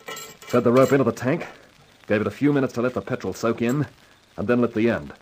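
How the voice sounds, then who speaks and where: An actor playing a cool, wisecracking hardboiled man in 1950s radio drama style, with a wry, unhurried delivery. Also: mid-range voice, darkish tone, natural voice. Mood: tense narration. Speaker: lead actor and narrator in a crime radio drama. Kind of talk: 1950s radio acting, hardboiled first-person narration. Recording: noisy vintage 1950s radio recording.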